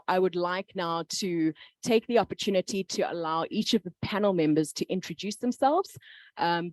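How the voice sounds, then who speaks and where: Lady moderating a panel, kinda low voice, says um a lot, dead dry sound. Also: darkish tone, no echo. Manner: polite, with frequent "um".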